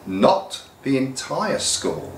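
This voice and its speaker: A man's voice: high-pitched, wildly swooping pitch, moderate pace, a bit bright, distant mic, slight background noise, voice pushed up, full character voice. A man voicing an absent-minded, cheerful old headmaster in a storybook reading, dotty and genial. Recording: roomy echo.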